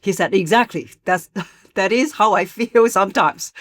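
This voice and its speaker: A woman voice coach speaks in a measured, explanatory way, pausing often as she gathers her thoughts. Her voice sits at a middle pitch. She is recorded close and dry, with no room around her. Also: dry recording. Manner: measured, explanatory, frequent hesitations. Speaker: voice coach and author, guest. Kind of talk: podcast interview.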